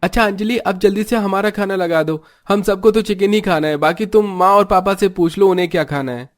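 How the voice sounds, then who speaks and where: A man's voice: high voice, fairly quick, natural voice. A man voicing a younger, food-loving family member who makes casual demands in a cartoon story. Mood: demanding. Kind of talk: Hindi cartoon story dialogue.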